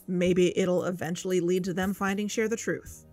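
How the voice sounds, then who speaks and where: A woman's voice: fairly low pitch, fairly even intonation, moderate pace, a little mellow, soft background music, close to an everyday voice. A woman playing a guarded, earnest podcast investigator in a conversational audio drama. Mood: doubtful, aggrieved. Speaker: fictional podcast co-host.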